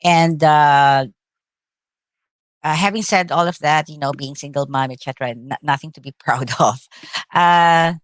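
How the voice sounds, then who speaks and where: A middle-aged woman with a Filipino accent, whose first language is Tagalog, answering interview questions thoughtfully with many pauses and uhs. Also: sits low, no room sound. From Philippines. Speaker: actress, interview guest.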